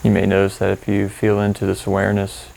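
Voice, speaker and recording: Gravelly, low-voiced man guiding meditation. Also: completely flat delivery, fast, a little hiss or hum. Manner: informal, candid, gently instructive. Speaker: meditation guide. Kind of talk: guided meditation.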